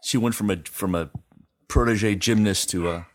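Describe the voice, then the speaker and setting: A man's voice: on the low side, moderate pace. A middle-aged man hosting a casual interview chat. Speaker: podcast host.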